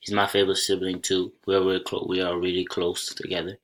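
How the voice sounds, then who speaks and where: A young man from Senegal whose first language is Soninke, giving a college class presentation over slides in a plain, unhurried way with small restarts. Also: low-pitched, monotone, fairly bright tone. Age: young adult. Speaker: college student.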